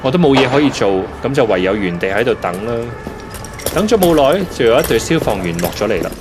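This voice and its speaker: Male actor as nervous amateur-sleuth tenant, Hong Kong Cantonese, first-person radio drama narration, highly expressive intonation. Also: fast, background noise, lightly acted. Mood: animated. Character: suspicious male tenant nervously investigating a hidden camera.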